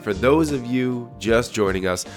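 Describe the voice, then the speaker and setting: A young man hosting a solo podcast while congested with a cold, his voice deep and resonant, talking in a relaxed, reflective way with frequent restarts. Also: unhurried, faint music behind. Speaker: podcast host. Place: home recording room.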